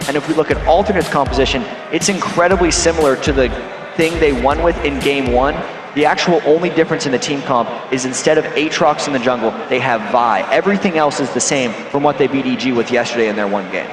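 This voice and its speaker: A man from North America giving measured, analytical esports color commentary on a headset at a packed convention hall. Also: medium pitch. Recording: echoey arena with music behind.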